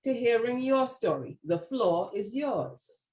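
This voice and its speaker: An animated host with a lilt, full of exclamations and thanks as each storyteller finishes. The voice is heard over a video call from an echoey room. Pitch sits in an ordinary middle range. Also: slow. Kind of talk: live emcee remarks at a virtual event.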